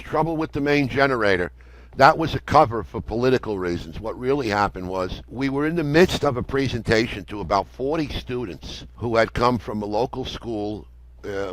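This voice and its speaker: A man's voice: lowish voice, fairly bright tone, low-quality recording. An older American man telling a story conversationally in a matter-of-fact, detailed way as an interview guest. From United States. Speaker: interview guest, amateur radio operator.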